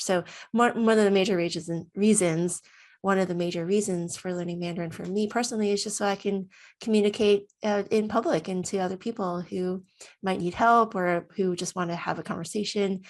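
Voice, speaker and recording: A woman in her thirties giving a casual, self-recorded testimonial in a chatty, expressive way, often voicing her own thoughts. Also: mid-range voice, a little fast.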